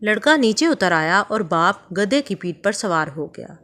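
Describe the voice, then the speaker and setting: Woman reading a kids moral story, calm and measured, middle pitch. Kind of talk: scripted narration for children.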